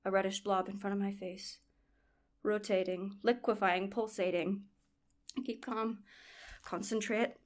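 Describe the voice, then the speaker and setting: A woman in a radio play acting a blind woman who is regaining her sight, halting and hushed, in short awed fragments. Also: mid-range voice, fairly even intonation, lightly acted. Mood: tentative, concentrating. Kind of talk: dramatic monologue in a radio play.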